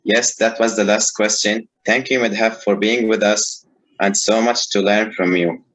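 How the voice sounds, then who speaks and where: A man's voice: fairly low pitch, fairly even intonation, moderate pace, fairly bright tone, low-quality recording. A young man from Saudi Arabia, a student interviewer asking questions briefly and in a friendly way.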